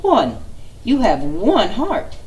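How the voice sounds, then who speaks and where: A woman voicing a cheerful, fast-talking male squirrel who hoards nuts, in a storybook reading. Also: mid-range voice, huge pitch swings, a little mellow, recorded from a distance, voice pushed up. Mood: chirpy. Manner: rapid, chirpy and eager.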